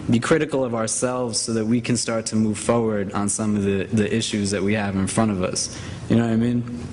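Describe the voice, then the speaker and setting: A man's voice: medium pitch, a little mellow, slight background noise. Casual self-deprecating male panel moderator. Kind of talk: live conference moderation. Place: conference hall stage.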